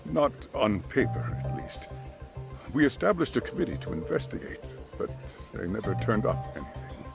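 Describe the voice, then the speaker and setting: Weary, creaky-voiced school chairman. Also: mid-range voice, sing-song. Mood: regretful, grave. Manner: hesitant, formal, measured. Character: grave, weary older male school chairman, courteous and hesitant. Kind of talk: video game cutscene acting. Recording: background music under the dialogue.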